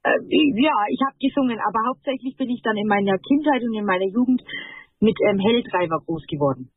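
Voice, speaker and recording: A woman's voice: mid-range voice, animated, fast, husky, no echo. Woman singer on a tinny phone line. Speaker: singer, interview guest. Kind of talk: casual phone interview. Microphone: telephone.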